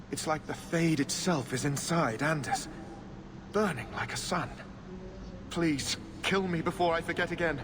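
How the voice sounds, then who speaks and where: A man's voice: on the high side, noisy background, close to an everyday voice. A man playing a doomed mage in a video game, anguished and pleading, with urgent wonder in his delivery. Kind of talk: dramatic video game dialogue acting.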